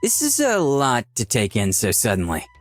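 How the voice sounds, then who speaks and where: A man playing a bewildered but smooth-talking young man in a visual novel, earnest and polite. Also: medium pitch, wildly swooping pitch, moderate pace, faint music behind. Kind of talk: visual novel character acting.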